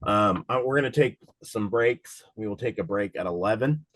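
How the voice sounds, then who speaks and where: A man with a slightly raspy voice from a cold, running a casual live online study session for an insurance exam and talking in a loose, rambling way with many fillers. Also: lowish voice, normal speed, dry recording. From Cincinnati, USA.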